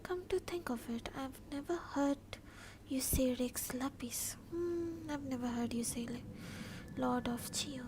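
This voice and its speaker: A woman's voice: high-pitched, unhurried, background noise. A woman chatting casually as she live streams a game. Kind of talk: casual live stream chat, mixing Hindi and English. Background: game audio.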